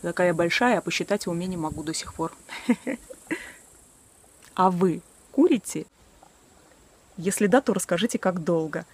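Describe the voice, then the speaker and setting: A woman's voice: on the low side, normal speed, pauses often, darkish tone, slightly rough. A woman in her early forties talking casually and candidly to a camera on a selfie stick, outdoors in a garden, with a few self-mocking laughs. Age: 40s. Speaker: vlogger. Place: outdoors by a wooden shed in a garden. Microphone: selfie stick camera at arm's length.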